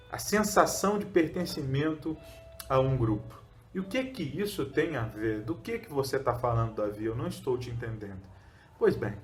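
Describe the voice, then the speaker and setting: A Brazilian man, a pastor, preaching a short sermon with rhetorical questions and fillers. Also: fairly high pitch. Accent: Brazilian Portuguese. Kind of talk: short recorded sermon teaching.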